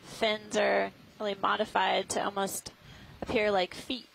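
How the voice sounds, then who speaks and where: A woman scientist giving explanatory live commentary on a deep-sea dive. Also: level tone, a little slow, vocal fry, a bit bright, rough recording. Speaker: expedition scientist narrating dive. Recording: faint background noise.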